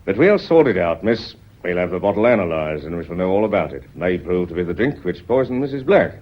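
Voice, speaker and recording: A shrewd British police inspector with a very low, husky voice. He questions in a measured, courteous, insinuating way, with lively swings of pitch, in radio drama style. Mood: calm, official. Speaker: actor in a radio play. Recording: dull, band-limited radio drama recording.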